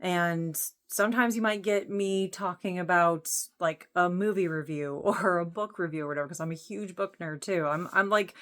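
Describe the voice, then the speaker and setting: A woman's voice: lowish voice, moderate pace, few pauses, a bit bright. A woman in her late thirties speaking casually and candidly as a vlogger, with an earnest, informal, rambling manner. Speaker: vlogger introducing own channel. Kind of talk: casual, candid talk to camera.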